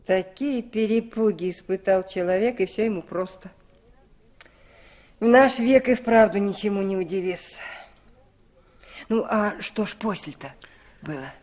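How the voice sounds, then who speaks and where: A woman's voice: mid-range voice, measured pace, pauses often, a little mellow, natural voice. An actress playing a compassionate, curious countrywoman in a 1960s radio drama, with a folksy way of exclaiming and questioning. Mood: curious, amazed. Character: compassionate, curious countrywoman listening to a traveller's tale.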